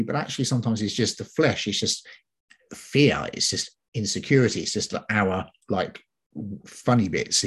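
A man's voice: fairly low pitch, breathy edge. A man giving an informal, conversational sermon, explaining in a chatty, hesitant way and often repeating himself.

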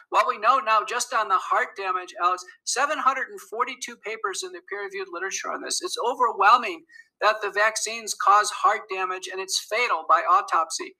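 Assertive male interview guest, sharp bright tone, high and gravelly. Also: rough recording. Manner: firm, assertive, lists facts steadily. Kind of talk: interview clip.

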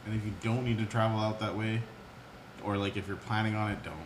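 A man co-hosting a casual podcast, chiming in with quick remarks and opinions. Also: fairly low pitch, little pitch movement. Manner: quick interjecting quips. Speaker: podcast co-host. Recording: echoey room with background noise.

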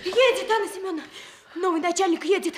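An actress playing an excitable, naive young courier girl in a Russian radio play, exclaiming breathlessly and full of awe. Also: very high voice, quick pace, slight background noise. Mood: excited, urgent.

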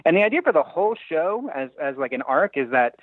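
A man calling in as a guest writer, talking casually in a halting, self-effacing, rambling way. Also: fairly high pitch, very animated, dry recording. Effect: phone line. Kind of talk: casual phone interview. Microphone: telephone call-in. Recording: thin, dry phone-line sound.

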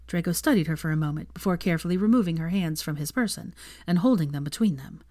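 A woman's voice: lowish voice, fast talker, darkish tone, dry recording, clean studio sound. A woman reading fiction aloud as a narrator, in scripted storytelling style. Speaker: fan fiction reader, narrator.

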